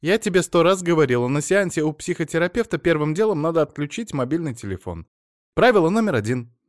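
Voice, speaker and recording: A flighty, scatterbrained wife, put on by a male narrator, rattles on in a high, quick voice with no pause for breath. The sound is dry and close, like a storybook read straight into the mic. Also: very animated, no echo. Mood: defensive. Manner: fast, breathless chatter. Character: excitable young-sounding wife, chattering and flighty.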